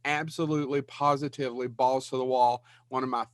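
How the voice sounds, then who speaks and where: A man's voice: mid-range voice, fairly even intonation, fairly quick, dry recording. A man co-hosting a podcast, trading casual banter with wisecracks and opinionated interjections. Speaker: podcast co-host. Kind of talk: casual group banter about films.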